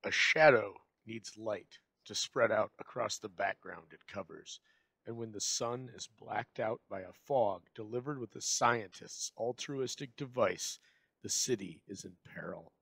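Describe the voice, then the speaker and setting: A man's voice: fairly low pitch, creaky, a bit bright, dry recording. A man giving a scripted, dramatic introduction with theatrical phrasing. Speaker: presenter introducing an old radio show.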